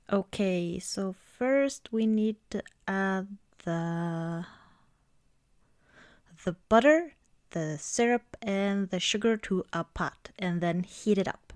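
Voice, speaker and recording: A woman doing an ASMR roleplay as an affectionate girlfriend, chatting casually and hesitantly in a soft, intimate way. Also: mid-range voice, takes it slowly, pauses often, no room sound, studio quality. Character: affectionate, cozy girlfriend baking at Christmas.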